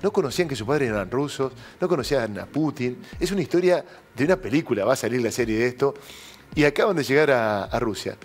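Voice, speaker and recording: A man's voice: mid-range voice, expressive, fast. A middle-aged man with an Argentine accent, hosting a political talk show in a TV studio and telling stories emphatically. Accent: Argentine Spanish. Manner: emphatic storytelling, addresses co-host by name.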